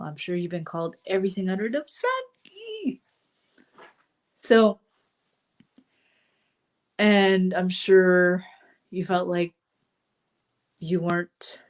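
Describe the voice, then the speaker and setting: Chatty woman with a slight cold. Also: lowish voice. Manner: chatty, with frequent fillers and asides. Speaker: coach hosting a live stream. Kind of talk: casual live-stream talk to viewers.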